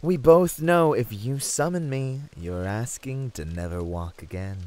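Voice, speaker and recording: A man's voice: medium pitch, expressive, a little slow, darkish tone, natural voice. A British man playing a cocky, boastful, lustful demon in a flirtatious audio drama, with a swaggering, teasing delivery.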